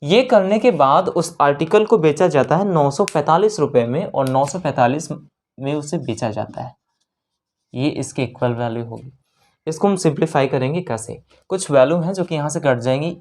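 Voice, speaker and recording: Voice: mid-range voice, a little mellow. A maths teacher working through exam practice problems step by step, repeating numbers and checking in with the listener as they go. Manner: repeats numbers and keeps checking in with "theek hai"; mixes English terms into the explanation.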